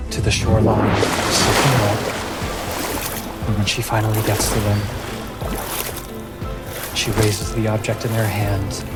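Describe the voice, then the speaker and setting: Slow-paced man narrating over music. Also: lowish voice. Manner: measured, dramatic pauses while narrating. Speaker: game master. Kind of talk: slow narration of a fantasy tabletop game, casual chat afterwards.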